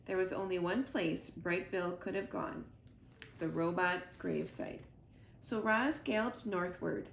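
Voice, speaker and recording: A woman reading a storybook aloud to children in an expressive, deliberate storytelling way, as a school librarian. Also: medium pitch, vocal fry. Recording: roomy echo, noticeable background noise.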